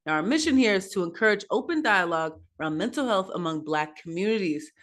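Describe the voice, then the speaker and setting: A woman's voice: medium pitch, animated, fast talker, fairly bright tone. A woman hosting a panel over a video call, upbeat and starting her sentences with "now". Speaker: host.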